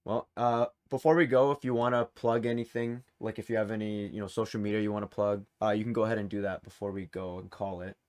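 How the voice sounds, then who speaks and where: A man's voice: fairly low pitch, steady tone, fast talker, hardly any pauses, darkish tone. A man hosting a gaming quiz in a casual, chatty, encouraging way. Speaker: quiz show host.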